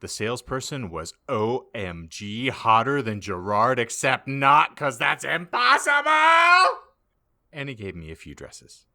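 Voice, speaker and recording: A man narrates a story for comedy in a theatrical, heavily emphatic way. His voice is bright and very animated in pitch. He takes his time over the sentences. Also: measured pace. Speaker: podcast host reading aloud. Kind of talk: mock-dramatic comedic reading of fan fiction.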